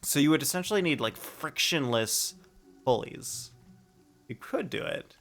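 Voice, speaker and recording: A man's voice: a little high, lively intonation, a little slow, a bit bright, faint music behind. A man giving casual, playful live commentary as a game streamer, thinking aloud in a rambling way.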